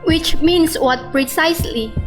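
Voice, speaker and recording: Woman as high-voiced, formal hearing chair. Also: music in the background. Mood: questioning. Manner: formal, measured wording. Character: formal, stern female chair of a disciplinary hearing. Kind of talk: radio drama acting.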